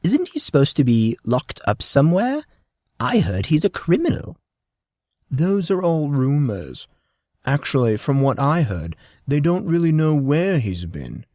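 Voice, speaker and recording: A man's voice: a little high, very animated, a little slow, dark tone, no room sound, studio quality, voice pushed up. A man voicing gossiping, disapproving parents in an audio drama, conspiratorial and scandalised.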